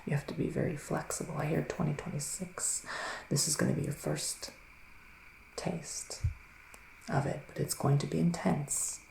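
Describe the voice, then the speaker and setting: A man playing a solemn psychic male witch in a soft-spoken, half-whispered ASMR roleplay, deliberate and full of breathy pauses. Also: a little high, little pitch movement, roomy sound, slight background noise, rough recording. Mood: solemn, foreboding. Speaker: ASMR performer.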